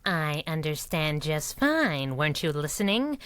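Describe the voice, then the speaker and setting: A bright, sharp, animated voice. It is a sardonic, streetwise masked heroine in a thirties-style radio adventure, tossing off brash slang. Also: female voice, low-pitched, measured pace, smooth, no room sound, exaggerated voice. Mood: sardonic, skeptical. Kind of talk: 1930s-set radio drama acting.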